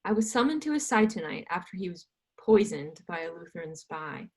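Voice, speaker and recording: A woman reading the part of a cautious older male physician and astronomer, hesitant and gently apologetic, in an amateur readers theatre. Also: fairly low pitch, sing-song, brisk, breathy edge, full character voice. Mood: apologetic, reluctant.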